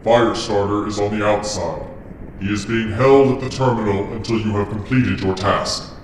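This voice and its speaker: A man performs an imperious computer ruler in a roleplaying game, speaking in a lowish, roomy voice. The delivery is cold, formal and measured, as commands from a machine. It sits back from the mic with faint noise behind it. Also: fairly even intonation, distant mic, close to an everyday voice. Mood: cold, commanding. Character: imperious, cold master control computer program, male.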